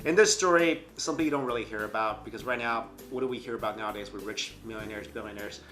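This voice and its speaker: A man's voice: fairly low pitch, fast talker, bright, forceful tone. A young man co-hosting a casual chat, giving opinions earnestly and repeating phrases as he reasons. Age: young adult. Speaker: co-host of a news chat show. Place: small furnished room indoors, on a couch.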